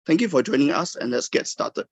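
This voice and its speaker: A man acts as webinar host with a formal, scripted delivery. His voice is husky with plenty of gravelly fry, at a medium pitch. The sound is very dry, without room echo. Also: animated, brisk.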